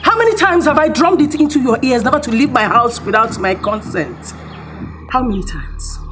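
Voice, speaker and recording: A woman playing a strict madam who loudly scolds and threatens her housegirl. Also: mid-range voice, animated, normal speed, music in the background, close to an everyday voice. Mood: scolding. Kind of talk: Nigerian film acting.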